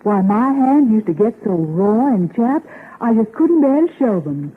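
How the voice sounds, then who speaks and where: An actress playing a reassuring married friend giving advice in a 1930s radio commercial, on a thin old recording. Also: female voice, on the high side, sing-song, a little slow, narrow, tinny audio.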